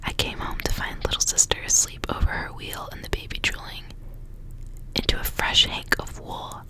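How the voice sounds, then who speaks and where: A woman whispering softly as she reads aloud in an ASMR style. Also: normal speed. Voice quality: soft unvoiced whisper. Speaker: ASMR reader and channel host. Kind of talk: whispered ASMR storybook reading with casual asides.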